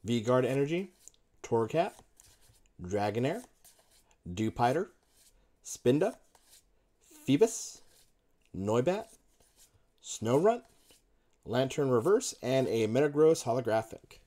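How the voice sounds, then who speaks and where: A man in his thirties talks slowly over a desk mic at home, his pitch jumping and dipping a lot as he reads off one card after another. Wrapper crinkles rustle behind him. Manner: lists card names in a running murmur, brief excited outbursts. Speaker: card-opening video host. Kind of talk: casual unboxing commentary.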